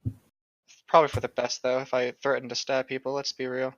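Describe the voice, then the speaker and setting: A man's voice: medium pitch, monotone, fairly bright tone, dry recording. A man co-hosting a comic book reading, chatting playfully and laughing easily, with a slightly dull recorded sound. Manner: playful, silly, quick to laugh. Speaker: co-host of a comedic book-reading show. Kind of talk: casual banter and comic read-aloud.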